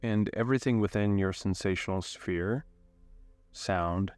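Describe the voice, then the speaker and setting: A man leading a guided meditation in a calm, unhurried way, giving gentle instructions with long pauses. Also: sits low, clear, resonant tone, no echo.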